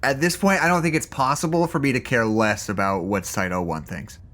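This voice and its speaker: A man's voice: mid-range voice, fairly quick, fairly bright tone, lightly acted. An actor playing a jaded but easygoing male staffer in an audio drama, talking candidly in a hesitant, conversational ramble. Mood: amused, candid. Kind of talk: naturalistic audio drama acting.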